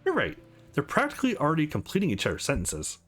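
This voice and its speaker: A man's voice: wildly swooping pitch, fast, soft background music. A man voicing a stern, protective businesswoman in a visual novel, blunt and wry in manner. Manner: blunt, low and reproachful.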